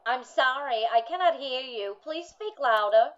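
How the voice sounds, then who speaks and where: In dull, muffled sound, an impassive switchboard operator acted by a woman speaks in a radio drama. Her high voice is formal and formulaic, politely unmoved. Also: expressive, moderate pace. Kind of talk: radio play acting.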